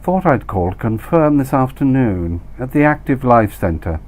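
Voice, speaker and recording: A man's voice: medium pitch, very animated, a little slow, darkish tone, pitched above the performer's own voice. A man voicing a breezy, chatty expat society woman on the telephone, speaking in clipped, gushing fragments.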